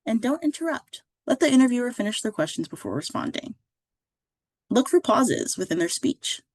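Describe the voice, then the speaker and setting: A woman reading a scripted instructional narration as a career services presenter, in a clear, measured, instructive way. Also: fairly high pitch, big pitch swings, darkish tone, no room sound.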